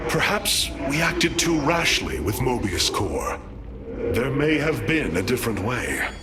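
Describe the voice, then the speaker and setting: A man's voice: mid-range voice, very animated, measured pace, heavy background noise. A man voicing a noble, resolute alien commander in a video game cutscene, grave and formal. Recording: loud music behind the voice.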